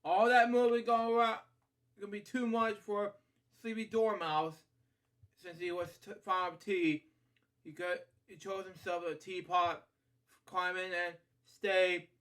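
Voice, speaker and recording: A man hosting a bedtime story read-aloud, halting and rambling as he drifts into casual asides. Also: sits high, little pitch movement, a bit bright. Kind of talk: picture-book read-aloud with casual off-the-cuff commentary.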